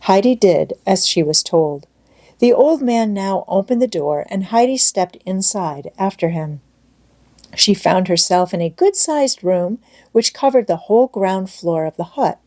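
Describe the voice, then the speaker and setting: A woman audiobook narrator with a soft, mellow tone. She reads storybook prose in a measured and expressive way, at a middling pitch.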